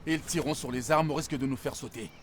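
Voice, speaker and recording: A man's voice: medium pitch, fast, a little hiss or hum, natural voice. A man playing a grave, determined soldier leading a desperate mission in a war video game. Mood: focused, planning. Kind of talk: cinematic war game dialogue. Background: music.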